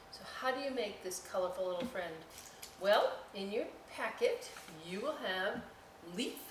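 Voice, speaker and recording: A woman hosting a children's storytime, reading aloud and explaining crafts with folksy, chatty, expressive asides to young listeners. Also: mid-range voice. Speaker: library storytime host. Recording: roomy echo, distant mic, faint noise.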